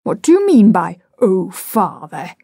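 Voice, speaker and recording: A sulky, blustering father from a children's storybook, acted out by a woman reader. The delivery swings widely in pitch, indignant and full of self-pity, with drawn-out, slow phrasing. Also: very animated, mellow, no echo, studio quality. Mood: blustering, defensive. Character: petulant, cowardly, blustering father of young children. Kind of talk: dramatised storybook reading.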